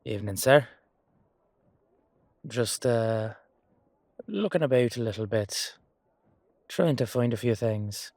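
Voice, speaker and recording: A man's voice: medium pitch, takes it slowly, pauses often, a little mellow, no room sound. A man acting a humble, weary knight with a wry, casual, hesitant manner in an amateur fantasy audio roleplay. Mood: casual, bluffing.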